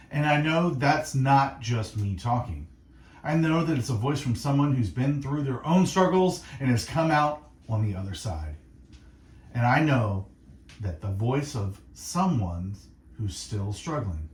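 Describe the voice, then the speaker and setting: A man hosting faith-based radio, speaking in an earnest, reflective monologue of encouragement with short, deliberate phrases. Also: mid-range voice, animated, roomy sound. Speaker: radio host. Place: radio studio.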